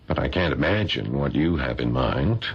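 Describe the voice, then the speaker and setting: An actor playing a curious, good-humoured, mildly exasperated husband who coaxes and banters in an old-style radio mystery. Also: male voice, a bass voice, animated, moderate pace, slight background noise. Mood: curious, coaxing. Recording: dull, lo-fi radio drama recording.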